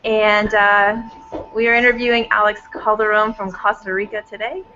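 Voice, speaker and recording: A woman teacher with a quiet, soft voice, hosting a video call with her class. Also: mid-range voice, flat delivery, normal speed, a little hiss or hum. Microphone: laptop. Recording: dull classroom audio.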